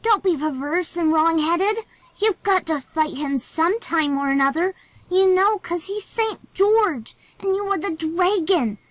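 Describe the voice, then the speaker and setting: A woman's voice: very high-pitched, unhurried, a bit bright, dry recording. A woman doing an eager, bossy young boy. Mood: pleading. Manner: eager and insistent.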